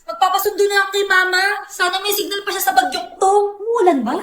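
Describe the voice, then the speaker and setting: Man as a dramatic, very high-pitched Filipino student. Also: steady tone, fast, pushed and bright. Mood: dramatic, distressed. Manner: exaggerated, complaining. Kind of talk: short comedy skit acting.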